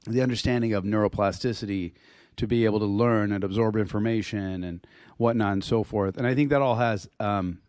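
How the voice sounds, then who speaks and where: A man co-hosting a podcast in casual chat, his voice hoarse as he loses it, talking in a rambling way with restarts and throat clearing. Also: fairly low pitch, brisk, darkish tone, no echo, studio quality. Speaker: podcast co-host.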